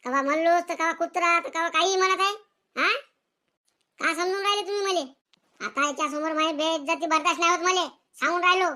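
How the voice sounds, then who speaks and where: A very shrill, bratty cartoon schoolboy. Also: a little fast, sharp tone. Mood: indignant. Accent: rural Maharashtrian Marathi. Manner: whining, boastful, threatening. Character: bratty, sulky, defiant village schoolboy son. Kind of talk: comedic cartoon skit dialogue.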